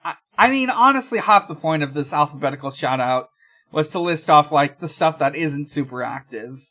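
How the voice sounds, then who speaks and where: A podcast co-host chatting casually in a halting, rambling way. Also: medium pitch, lively intonation, pushed and bright.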